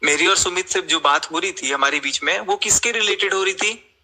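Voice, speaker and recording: Insistent man with a bright, high voice. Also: brisk. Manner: insistent, confrontational, repeats questions. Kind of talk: heated argument in a group call. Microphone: recorded group voice call.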